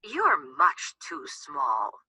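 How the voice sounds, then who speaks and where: A woman's voice: deep voice, wildly swooping pitch, drawn-out words, bright, forceful tone, husky, dry recording, studio quality, exaggerated voice. A woman voicing a protective, worried mother hen.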